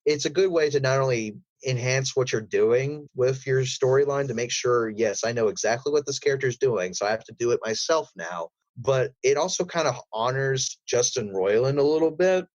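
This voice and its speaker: A man's voice: medium pitch, normal speed, few pauses, no room sound, low-quality recording. A man speaking as an interview guest about his voice work, in a rambling, enthusiastic way. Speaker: guest, voice actor.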